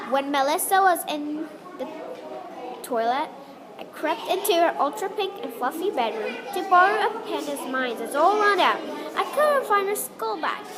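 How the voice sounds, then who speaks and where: A woman reading a children's story aloud. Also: high-pitched. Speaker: book reader at a read-aloud event. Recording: busy crowd noise behind the voice.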